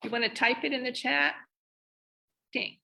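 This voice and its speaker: A woman co-presenting a children's read-aloud, thinking aloud and asking expressive questions. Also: high voice, moderate pace, gravelly. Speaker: reading teacher, co-presenter. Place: online video session.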